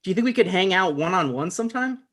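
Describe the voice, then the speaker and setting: A needy, starstruck show creator played by a man in a comedy table read. He talks earnestly and conversationally, with very animated intonation and a slightly high voice. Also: fast talker. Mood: pleading, charmed.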